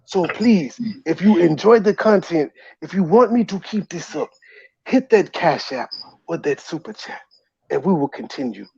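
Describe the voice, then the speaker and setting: A man's voice: a little high, lively intonation, normal speed, vocal fry, darkish tone, husky. A man hosting a livestream, bantering in a mock-exasperated, teasing way. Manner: mock-exasperated, teasing, repeatedly addressing "ma'am". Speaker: livestream host and commentator. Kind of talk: bantering livestream commentary in a put-on comic accent. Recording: dull lo-fi roomy stream audio.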